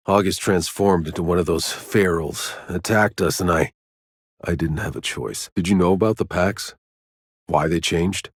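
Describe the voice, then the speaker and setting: A gruff tired soldier hero from a video game, deep n husky, dry and terse, super close sound. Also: male voice, dry recording. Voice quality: husky, low. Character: gruff, world-weary male soldier-scavenger, dry and determined.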